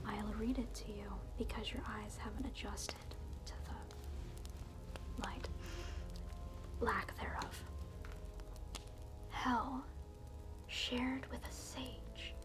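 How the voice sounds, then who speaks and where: A sardonic evil alter ego played by a woman speaks in breathy, fairly high whispers at an unhurried pace. The delivery is a mock-courteous ASMR roleplay welcome to hell, with clear music playing behind it. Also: fairly even intonation. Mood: mock-welcoming.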